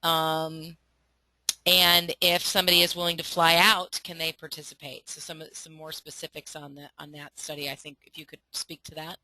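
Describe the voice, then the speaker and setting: A woman's voice: deep voice, little pitch movement, moderate pace, sharp tone, no echo, poor audio. A woman moderating a webinar, earnestly relaying audience questions to a guest.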